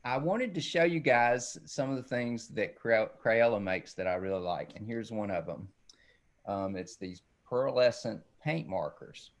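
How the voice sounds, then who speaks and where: A man with a lowish, gravelly voice gives a casual art demonstration for children. He rambles as he works and keeps checking that viewers follow. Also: animated, measured pace. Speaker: illustrator giving a demonstration.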